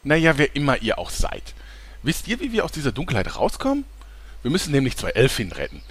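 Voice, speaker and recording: A man's voice: medium pitch, huge pitch swings, quick pace, husky, no room sound. A man voicing a sly, scheming male adventurer who takes photos, in a fan audio drama. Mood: chatty.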